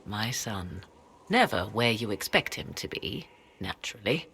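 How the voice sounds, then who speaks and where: An actress playing a proud, aloof sorceress in a video game, speaking in archaic, formal, measured phrases. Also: female voice, rumbling low, sing-song, a bit bright, slight background noise, exaggerated voice. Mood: fond, wry. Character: proud, aloof, cryptic sorceress and devoted mother. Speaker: sorceress advisor. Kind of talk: dramatic video game acting.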